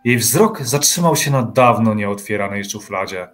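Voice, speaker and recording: A man's voice: on the low side, expressive, normal speed, fairly bright tone. A man reading scripted literary narration as the narrator of an audio drama. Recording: music behind the voice.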